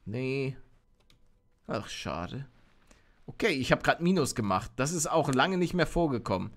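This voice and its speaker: A young man streaming a game live, chatting with his viewers and reacting loudly, close to a studio mic at home. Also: mid-range voice, unhurried. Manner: chatty, reads chat aloud, bursts into excited shouts. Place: home streaming room, indoors. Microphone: studio mic on arm near face.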